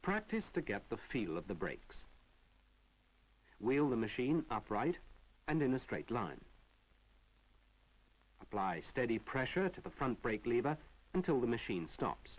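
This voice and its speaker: A British man reading a measured, didactic narration for an instructional film from the 1970s. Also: medium pitch, big pitch swings, slightly rough, low-quality recording.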